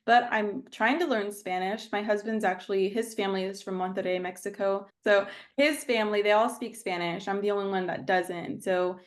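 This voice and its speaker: A woman's voice: mid-range voice, fairly even intonation, normal speed, hardly any pauses. A young woman, a guest therapist chatting casually and filling her talk with "like". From Texas. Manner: chatty, frequent "like" fillers. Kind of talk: casual podcast chat.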